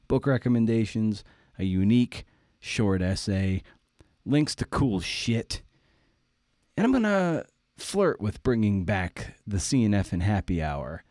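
A man's voice: low voice, mellow, dry recording, clean studio sound. A man hosting a podcast, chatting casually through an introduction in an irreverent, slangy, self-deprecating way. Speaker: podcast host.

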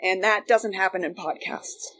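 A woman's voice: medium pitch, moderate pace, a bit bright, a little hiss or hum, low-quality recording. A Canadian woman reminiscing casually as a guest, chatty and emphatic, rambling from one thought to the next. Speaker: guest, former radio worker.

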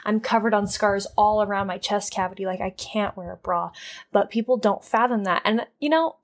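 A young woman chatting on a podcast in a loose, rambling way, restarting her thoughts and dropping swear words without pause. Her pitch sits in the middle of the range and her delivery is unpolished. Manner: rambling, candid, swears freely, trails off and restarts. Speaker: podcast co-host. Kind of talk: casual podcast chat.

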